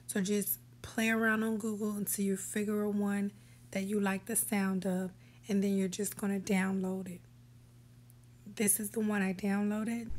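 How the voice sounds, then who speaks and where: Woman tutorial host, unhurried, level delivery, casual step-by-step voice-over. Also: mid-range voice, slight background noise. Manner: relaxed step-by-step instructions, sometimes whispery. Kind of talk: screen-recorded app tutorial voice-over. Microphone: voice-over for a screen recording.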